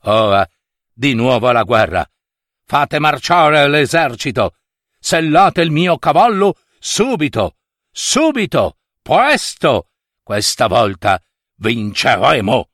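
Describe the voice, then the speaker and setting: A man's voice: medium pitch, lively intonation, drawn-out words, a bit bright, no echo, clean studio sound, exaggerated voice. A man voicing a beleaguered, irascible king in a fairy tale, exclaiming and repeating himself dramatically. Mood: urgent, excited.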